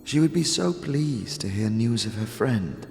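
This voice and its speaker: A man voicing a mysterious, sad otherworldly woman in a fantasy audio drama, with dreamy, musical, deliberate speech. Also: medium pitch, animated, creaky, a little mellow, long reverb tail, faint music behind. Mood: hopeful. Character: mysterious sad otherworldly woman who speaks of herself in third person.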